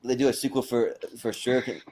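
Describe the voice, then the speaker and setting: A man's voice: mid-range voice, quick pace, darkish tone. A man with an agreeable, easygoing manner hosting a casual panel chat. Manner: agreeable, easygoing, often chuckling. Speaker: host of a panel show.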